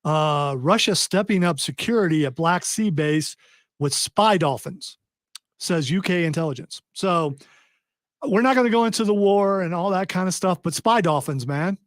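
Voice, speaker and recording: Man hosting a podcast, rambling, a bit high, dry close mic. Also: expressive, normal speed, dry recording. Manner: rambling, restarts, steers the talk. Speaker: podcast host. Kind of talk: casual chat.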